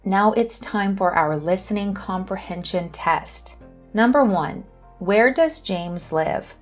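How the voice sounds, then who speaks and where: A woman hosting an English lesson, reading questions and answers slowly and carefully. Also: mid-range voice, faint music behind. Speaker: English teacher and channel host. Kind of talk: scripted lesson narration.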